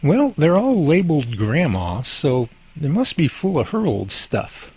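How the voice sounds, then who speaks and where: A man's voice: on the high side, sing-song, unhurried, a little mellow, husky, full character voice. A man acting as a goofy, teasing and earnest young husband in a radio drama, with an exaggerated delivery. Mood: upbeat.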